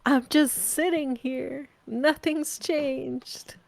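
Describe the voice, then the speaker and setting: A woman from the Pacific Northwest chatting casually over a home voice call, talkative and quick to laugh. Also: high voice, steady tone, gravelly, a little mellow. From Pacific Northwest, USA. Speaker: voice actor in a group game playthrough. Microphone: home mic on a voice call.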